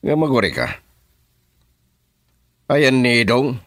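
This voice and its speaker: A man's voice: mid-range voice, sing-song, slow pace, a bit bright, no room sound, studio quality, heavily acted. An actor playing a stern, domineering crime boss giving orders to his henchmen in an Ilocano radio drama.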